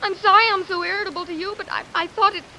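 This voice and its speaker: A woman's voice: very high-pitched, fairly even intonation, fast talker, sharp tone, exaggerated voice, poor audio. An actress playing a sweet, scatterbrained, girlish secretary, naive and flustered, in a 1950s radio drama. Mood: flustered, plaintive. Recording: hissy vintage radio recording.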